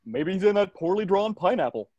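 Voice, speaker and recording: A performer voicing a dim-witted, goofy male cartoon starfish sidekick with a husky, exclamatory delivery at a table read. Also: mid-range voice, lively intonation, fairly quick, darkish tone, no echo, muffled recording, natural voice. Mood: alarmed.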